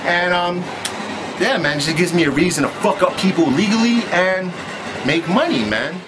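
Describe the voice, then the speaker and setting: A man's voice: high voice, expressive, moderate pace, noisy background. A man telling his own story in casual, profane street slang, with "man" tossed in constantly. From United States.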